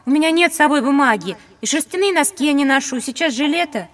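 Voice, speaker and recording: A clever young girl with a high voice in a children's audio play. She is matter-of-fact and observant, with a gently mocking, practical streak. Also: lively intonation, fast talker. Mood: sceptical, teasing. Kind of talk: children's educational audio drama.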